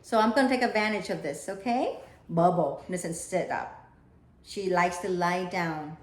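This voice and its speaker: A woman reading a children's picture book aloud in an expressive, deliberate storytelling style. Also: lowish voice. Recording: echoey room.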